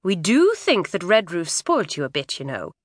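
A woman voicing a firm but kindly middle-aged mother who speaks calmly and reasonably. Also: on the low side, moderate pace, no echo, studio quality. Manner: calm, firm, soothing.

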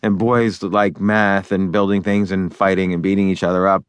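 A man's voice: low-pitched, flat delivery, normal speed, clear, resonant tone, dry recording. A man narrating his own book in a loose, conversational way, with fillers and restarts as he talks over each point. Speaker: author and narrator.

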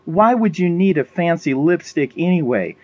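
A man's voice: a little high, animated, moderate pace, a little mellow, no room sound, lo-fi sound, pitched above the performer's own voice. A man voicing a practical woman survivor in an audiobook, blunt, bossy and matter-of-fact. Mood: dismissive.

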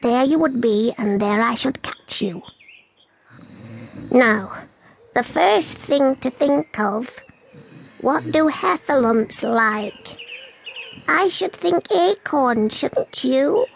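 A woman voicing a small, earnest, bossy little pig in a dramatised children's story, with a squeaky, creaky voice. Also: expressive, slow pace, long pauses, slight background noise, full character voice. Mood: eager, planning.

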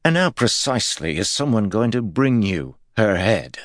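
A man's voice: fairly low pitch, sing-song, moderate pace, no echo, voice pushed up. A man doing a mocking, insubordinate guard. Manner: mocking, dry. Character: skeptical, insubordinate male guard.

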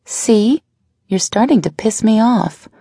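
Woman doing a fiery young woman, higher and springier than the reader, pushy and pleading, kinda husky. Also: slow pace, warm tone, no room sound, studio quality. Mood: pressing. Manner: pleading, insistent. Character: fiery, devoted young woman, quick to scold.

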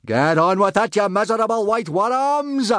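Savage, bellowing giant Viking schoolmaster, pitched up high, wildly swooping, storybook villainy. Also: male voice, lively intonation, normal speed, a bit bright, no echo, studio quality. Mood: roaring, gleeful. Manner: roaring, insulting, drill-sergeant delivery. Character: huge mad bellowing Viking teacher, savage and gleeful.

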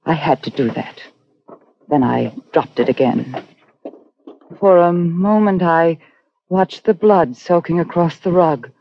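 A woman's voice: lowish voice, slow, pauses often, a little hiss or hum. Haunted woman confessing, muffled recording. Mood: haunted, fascinated. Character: haunted, guilt-ridden woman murderer narrating her crime. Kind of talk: 1940s radio suspense acting. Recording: dull old radio recording with music.

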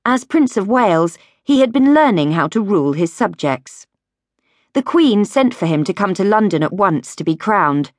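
A woman reading a history audiobook as a scripted narration, in a measured, clearly phrased storytelling manner. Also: medium pitch, very animated, no room sound, clean studio sound. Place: recording studio.

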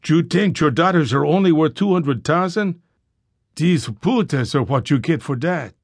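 A man's voice: a little high, expressive, moderate pace, slightly rough, no room sound, studio quality, voice pushed up. Man playing menacing kidnapper boss with Spanish accent. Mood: taunting. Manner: taunting, sneering.

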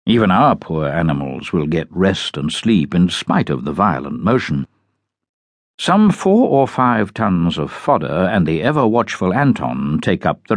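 Deep-voiced man reading expressively. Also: no room sound. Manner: measured, literary, expressive delivery. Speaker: audiobook narrator. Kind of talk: scripted dramatic reading of a journal.

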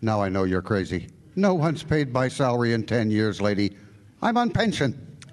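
A man playing a blunt, folksy old pensioner in a radio drama, colloquial and gruffly matter-of-fact. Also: mid-range voice, sing-song, normal speed, long reverb tail, lightly acted. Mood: baffled, dismissive. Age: older.

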